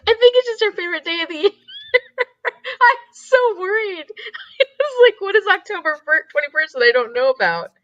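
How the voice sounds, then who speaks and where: A woman's voice: extremely high pitch, sing-song, moderate pace, a bit bright, dry recording, muffled recording. A woman chatting casually and informally as co-host of a group livestream, talking into a close mic on a call.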